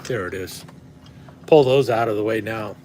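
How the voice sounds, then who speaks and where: A man hosting a casual livestream and rambling with lots of fillers and restarts, his voice slightly hoarse from talking. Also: fairly low pitch, normal speed, a little mellow, a little hiss or hum. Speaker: knife collector livestream host. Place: at home indoors.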